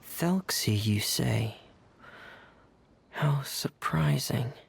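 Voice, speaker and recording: A man's voice: medium pitch, lively intonation, very slow, vocal fry, a little hiss or hum, exaggerated voice. A man voicing a shy, reserved teenage boy in a video game, speaking hesitantly and politely. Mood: calm. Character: Freminet, shy, reserved, soft-spoken teenage boy diver.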